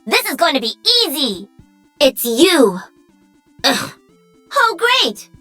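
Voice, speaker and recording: A woman's voice: sits high, sing-song, slow pace, pushed and bright, soft background music, full character voice. A woman voicing a perky, cocky young female pro-gamer mech pilot for a video game. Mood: sarcastic. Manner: bubbly, cocky, teasing.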